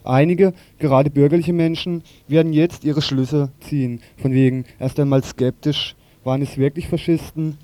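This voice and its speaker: A man's voice: on the high side, steady tone, moderate pace, few pauses, warm tone, dry recording. A man reading a scripted political commentary on the radio in a formal way, with occasional slips.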